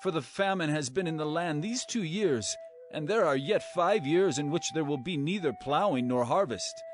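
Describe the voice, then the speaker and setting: An actor playing a commanding yet tender brother and governor in a dramatized scripture, speaking in an earnest, declamatory way. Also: male voice, a little high, animated, normal speed, soft background music, close to an everyday voice. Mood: earnest, reassuring.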